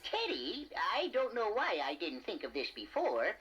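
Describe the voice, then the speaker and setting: A man voicing a clever, excitable little inventor creature with a husky voice in a children's storybook recording. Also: sits high, measured pace, slight background noise, exaggerated voice, rough recording. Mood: eager, clever. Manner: quizzing, eager. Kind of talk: children's storybook cassette acting.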